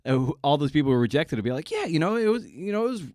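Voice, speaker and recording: An American man hosting a casual conversational interview, speaking in a halting way and often repeating words as he works toward his point. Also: fairly high pitch, sing-song, darkish tone, no room sound, studio quality. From Massachusetts, United States. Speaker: podcast host interviewing a writer.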